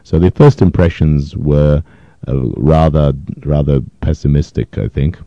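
Very deep-voiced man in his fifties, from Poland. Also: steady tone, gravelly, mellow, no echo, lo-fi sound. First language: Yiddish. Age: 50s. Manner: thoughtful, hesitant, with frequent pauses and fillers. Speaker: interviewee. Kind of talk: reflective oral history interview.

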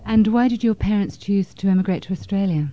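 A woman conducting an interview, asking polite, measured questions. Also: mid-range voice, mellow, no echo, lo-fi sound.

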